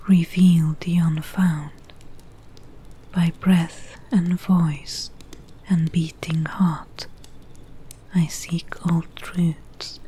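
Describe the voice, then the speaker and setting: A woman playing a gentle alchemist in an ASMR fantasy roleplay, reciting in a slow, breathy, hushed whisper like an incantation. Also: lowish voice, rough recording. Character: gentle female alchemist brewing potions and reciting spells. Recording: close whisper with clear background noise, ambience.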